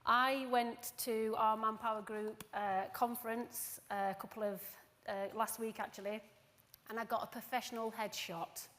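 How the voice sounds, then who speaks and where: A woman's voice: on the high side, flat delivery, moderate pace, a bit bright. A woman in her forties with a Yorkshire accent, giving a jokey, self-deprecating conference talk full of asides and fillers. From Doncaster, England. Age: 40s. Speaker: conference speaker. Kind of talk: chatty, jokey presentation. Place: conference hall. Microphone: handheld or lapel mic. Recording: long hall reverb.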